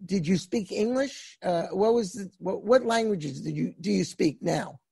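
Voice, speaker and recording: A man's voice: sits high, unhurried, creaky, a little mellow, slightly rough, dry recording, clean studio sound. A man hosting a podcast interview, asking folksy questions with frequent restarts and fillers. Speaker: podcast host.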